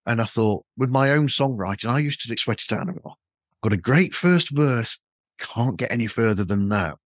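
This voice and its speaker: A man with a mid-pitched voice hosts a casual podcast conversation. He rambles through anecdotes in an informal way, and the sound is dry and close. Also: expressive, normal speed, no room sound. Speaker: podcast host.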